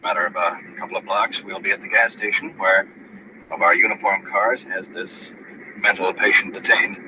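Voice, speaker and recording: A noisy, muffled old radio field recording of an American man with a deep voice. He reports tersely from the scene as a police recorder, narrating what he sees in the present tense. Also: flat delivery, normal speed. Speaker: police recorder and show host.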